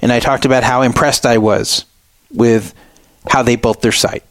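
Male, middle-aged talk radio host. Mid-range voice, opinionated and emphatic solo commentary, deliberate phrasing, very dry recording. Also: medium pitch, steady tone, no room sound.

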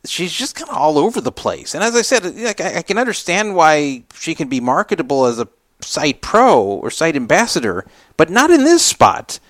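A man's voice: fairly high pitch, big pitch swings, normal speed, a bit bright, no room sound, clean studio sound. Emphatic man hosting an opinionated talk show. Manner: rambling, emphatic, repeats himself for emphasis. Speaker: podcast host. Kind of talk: casual opinionated radio talk commentary.

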